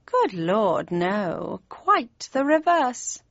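A woman voicing a grinning, mischievous male Cheshire Cat in an audiobook, with playful, genteel, drawn-out speech. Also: mid-range voice, very animated, vocal fry, dry recording, highs cut off, heavily acted. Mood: breezy. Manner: drawling, playful, old-fashioned polite phrasing. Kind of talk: audiobook character voice.

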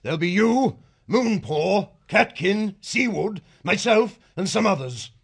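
A man's voice: high-pitched, lively intonation, takes it slowly, gravelly, breathy edge, full character voice. An actor playing a stern male badger lord giving curt orders. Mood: commanding.

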